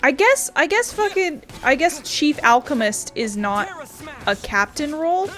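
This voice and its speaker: A woman commentates casually on a game she is playing. Her voice sits on the high side, and she rambles as she thinks aloud. Game music plays softly behind her. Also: expressive, a little slow. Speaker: gaming streamer.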